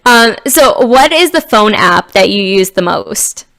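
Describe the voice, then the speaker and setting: A woman's voice: medium pitch, expressive, measured pace, a bit bright. A woman hosting an interview, bubbly and affirming, laughing often between questions. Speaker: interview host. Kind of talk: casual interview chat.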